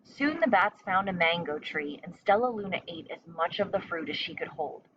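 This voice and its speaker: A woman's voice: fairly low pitch, animated, moderate pace, far from the mic, lo-fi sound, slight background noise. A woman reading a children's story aloud as narrator. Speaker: storybook reader.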